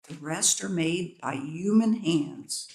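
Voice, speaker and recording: A woman's voice: lowish voice, slow pace, gravelly, a little mellow. A woman voicing a stately queen who speaks formally and grandly. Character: stately visiting queen posing a riddle. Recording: echoey room.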